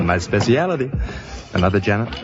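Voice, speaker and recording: A man's voice: fairly low pitch, very animated, a little fast. A man playing a breezy, joking bartender in an audio drama, with a casual, wry way of talking. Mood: casual, flirty. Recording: dull lo-fi, loud music behind.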